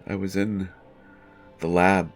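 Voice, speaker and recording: A male actor plays a haunted, distraught man confessing in an audio horror drama. His voice is very low and his delivery is slow, halting and full of stammered repeats. Faint music sits behind him. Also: level tone. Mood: grim, dread-filled. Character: haunted, distraught male writer jailed for his son's death. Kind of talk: dramatic first-person horror monologue.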